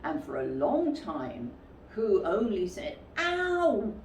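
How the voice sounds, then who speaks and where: A woman reads a children's story in an expressive, deliberate way. Her pitch swings in an animated manner at an unhurried pace. The recording is roomy, with some distance from the mic. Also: slight background noise.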